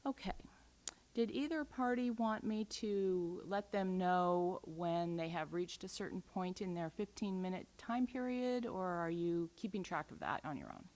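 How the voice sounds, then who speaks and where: Low-voiced woman presiding at a hearing. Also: animated, a little mellow. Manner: measured, procedural, spells out case numbers slowly. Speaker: administrative law judge. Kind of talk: formal hearing procedure.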